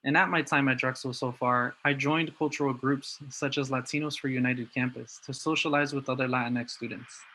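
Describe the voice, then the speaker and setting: A young man from New Jersey giving a prepared talk in an earnest, steady way. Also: medium pitch, brisk, few pauses, slight background noise. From New Jersey, USA. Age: young adult. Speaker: student speaker.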